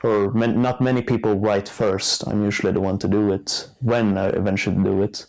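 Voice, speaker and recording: A man's voice: lowish voice, brisk, rough recording. A young man from Sweden, a student, answering interview questions frankly and a little hesitantly. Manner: relaxed, frank, hesitant with fillers.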